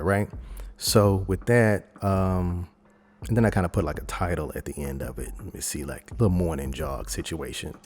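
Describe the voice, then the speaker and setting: A man presenting a software tutorial in a casual, rambling, conversational way, talking informally through each step. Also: deep voice, slight background noise. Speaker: video editing tutorial host.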